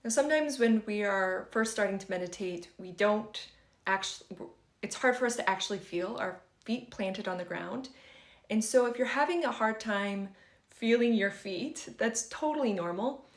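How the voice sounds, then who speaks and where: A woman leading a guided meditation for beginners in a gentle, conversational and reassuring way. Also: mid-range voice, moderate pace. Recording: roomy, echoing sound.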